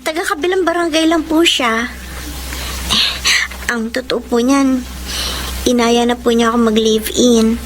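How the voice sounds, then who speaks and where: A woman acting a sweet, anxious young woman in love in a Filipino radio drama, expressive and pleading, with a Filipino accent. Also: fairly high pitch, a little slow, pauses often, slight background noise, natural voice, poor audio. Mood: nervous pleading. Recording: music under the voice.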